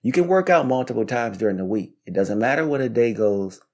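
A man in his thirties giving scripted, instructional solo podcast narration in a measured way, as if reading from notes. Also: mid-range voice, lively intonation, darkish tone. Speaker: podcast host.

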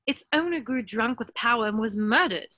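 An earnest, bookish young witch played by a woman in a drama table read. The voice is high and bright, with a dry, close sound as it tells its tale. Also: lively intonation, normal speed, no room sound. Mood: earnest, matter-of-fact. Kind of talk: scripted table read, storytelling. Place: indoors on a video call.

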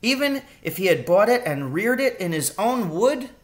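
A man's voice: sits high, big pitch swings, moderate pace, pitched above the performer's own voice. A man voicing an eager, curious young boy in a storybook read-aloud, asking wide-eyed questions.

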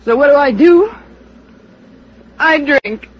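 A woman's voice: high-pitched, expressive, takes it slowly. An actress playing a bitter, heartbroken, hard-drinking woman in old-time American radio drama. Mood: anguished. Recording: dull, noisy old radio transcription.

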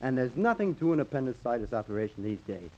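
An easygoing hospital orderly in a 1950s radio drama. He is chatty and soothing in a mid-pitched voice. The old recording sounds dull. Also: very animated, fast talker, darkish tone. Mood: reassuring.

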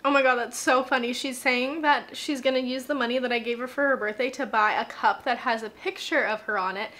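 A woman's voice: a little high, fairly even intonation, brisk, bright, forceful tone. Bubbly young woman vlogging at home. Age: 20s. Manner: bubbly, gushing, frequent "like" fillers. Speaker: beauty vlogger. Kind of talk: casual chatty vlog. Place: home, bathroom and living room.

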